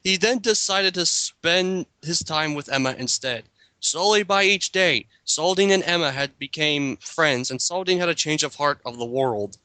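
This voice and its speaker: Man, slightly high pitch, bright tone, dry close sound, mock dramatic reading, casual banter, stumbles on long words. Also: moderate pace, dry recording, rough recording. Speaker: reader in a group dramatic reading.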